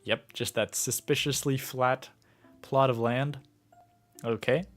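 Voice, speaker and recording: A man's voice: mid-range voice, fairly even intonation, slow pace. A man rambles casually through a gameplay livestream, thinking aloud with plenty of fillers. His mid-range voice is a little worn because he is sick and losing it. Faint game music plays underneath. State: sick, starting to lose his voice. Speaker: gaming livestreamer.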